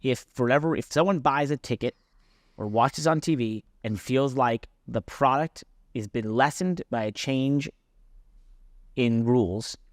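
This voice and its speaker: A man with a medium-pitched voice talks on a casual motorsport podcast. He explains his position point by point and often doubles back to clarify it. The sound is dry, with almost no room on it. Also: measured pace, no echo. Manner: explanatory, self-correcting, interjecting. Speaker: podcast co-host and racing driver. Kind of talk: casual sports podcast discussion.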